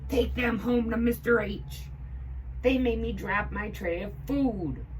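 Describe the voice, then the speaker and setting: A woman acts out an indignant storybook man, a cook or ball player with a short temper. The voice is raised high and loud in outrage, with some background noise. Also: takes it slowly, far from the mic, rough recording. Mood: angry shouting.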